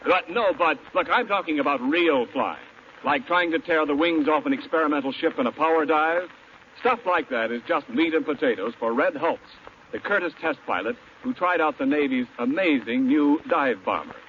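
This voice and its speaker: A male radio announcer reading a 1940s sponsor pitch in an emphatic, salesmanlike way. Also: animated, normal speed. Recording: noisy, muffled 1940s radio broadcast.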